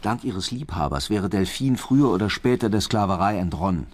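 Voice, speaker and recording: An actor playing a brooding, lovesick police detective who narrates a radio crime drama. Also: male voice, low voice, lively intonation, brisk. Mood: grim. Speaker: lead actor and first-person narrator.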